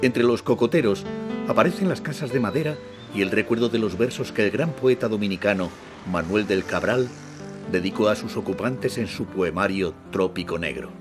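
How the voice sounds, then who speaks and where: A man narrating a travel documentary in a measured, scripted style. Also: on the low side. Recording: music bed under voice-over.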